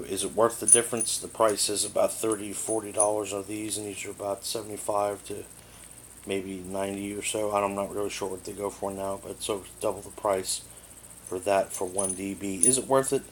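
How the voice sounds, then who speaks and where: A man's voice: fairly low pitch, little pitch movement, normal speed. A man giving a casual hands-on product overview in a rambling, matter-of-fact way, recorded indoors on a tablet microphone. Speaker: product reviewer. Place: living room indoors. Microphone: tablet's built-in microphone. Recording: noisy amateur tablet recording.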